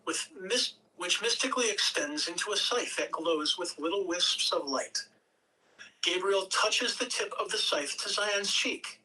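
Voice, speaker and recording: A woman's voice: medium pitch, sharp tone, far from the mic, low-quality recording. A woman reading stage directions as scripted narration at a table read.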